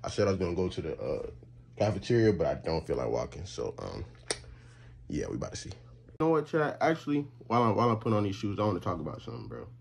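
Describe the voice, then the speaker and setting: A man's voice: low-pitched, sing-song, fast, creaky, darkish tone, slight background noise. An eighteen-year-old man from Texas, chatting casually and rambling to his viewers in a college vlog, talking into a phone camera in a small bedroom. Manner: rambling and slangy, lots of fillers, talks to chat. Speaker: college student vlogger and streamer. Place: small bedroom and bathroom indoors. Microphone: phone camera held at arm's length or propped.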